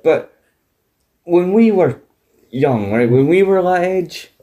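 A man's voice: high-pitched, lively intonation, slow, mellow, highs cut off. A man in his late thirties, chatting casually as a podcast co-host, with teasing banter and some swearing. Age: 30s. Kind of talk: casual chat.

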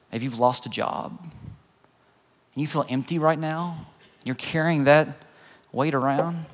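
A middle-aged man preaching a sermon in a conversational, earnest way, telling personal stories and putting questions to his listeners. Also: mid-range voice. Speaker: pastor preaching. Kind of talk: sermon with personal storytelling and illustration. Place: large church room, preaching to a congregation. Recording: long hall reverb.

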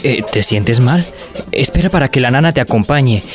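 An actor playing a solicitous, attentive young bridegroom in an audio drama. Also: male voice, mid-range voice, animated, moderate pace, soft background music, close to an everyday voice. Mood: concerned.

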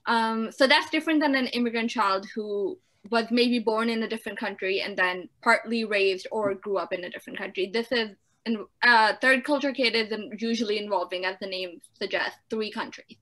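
Bright, enthusiastic woman podcast host. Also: mid-range voice, normal speed. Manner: enthusiastic, gushing about her guest. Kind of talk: casual podcast hosting.

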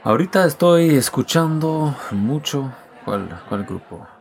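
A man from Quebec, a native French speaker, talking as a guest musician in a casual interview, speaking in a halting, thoughtful way. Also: fairly high pitch, animated, darkish tone.

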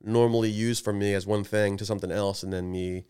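Fast-talking teasing young man, smooth voice. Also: fairly low pitch, steady tone, a little mellow. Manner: rapid, rambling, teasing, laughs often. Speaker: podcast co-host. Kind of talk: casual banter.

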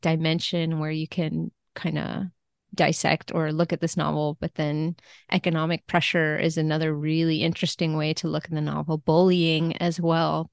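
A woman's voice: fairly low pitch, gravelly, no room sound, studio quality. A woman speaking as a translator guest on a podcast, discussing a book in an informal, conversational way.

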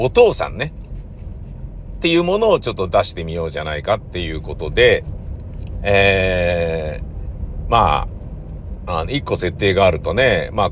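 A man's voice: on the low side, slight background noise. A man talking casually and at length as a playwright recounting his work, in a discursive, emphatic, colloquial way. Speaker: playwright and troupe leader.

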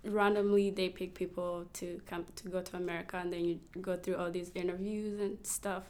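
A young woman from Rwanda, a model, speaking softly in a casual interview, laughing often and using many fillers. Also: on the low side, flat delivery, normal speed. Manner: soft-spoken, laughs often, frequent fillers.

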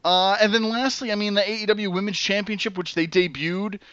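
A man's voice: sits high, fairly even intonation, a little fast, sharp tone, clear, resonant tone, dry recording. Rambling man hosting a podcast. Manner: rambling, hesitant, lots of fillers. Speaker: podcast host. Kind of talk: casual podcast chat. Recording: dull lo-fi podcast audio.

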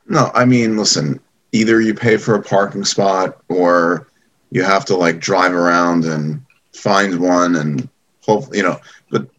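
A middle-aged man from Long Island with a low voice chats loosely over a video call. He hedges a lot, leaning on fillers like "you know" and "I mean" as he goes. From Long Island, New York. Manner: relaxed, hedging with many fillers. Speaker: guest, event company founder. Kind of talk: casual video call chat.

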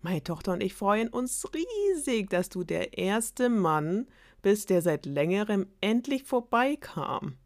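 A woman's voice: mid-range voice, big pitch swings, slow pace, dry recording, pitched above the performer's own voice. Woman doing a cranky old storybook king, firm and blunt, dry sound. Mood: eager. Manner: firm, emphatic.

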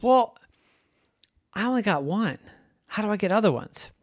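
A storyteller voicing an excitable, boastful young male pig with a high, whiny, squealing voice. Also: moderate pace, no room sound, clean studio sound, close to an everyday voice. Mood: curious.

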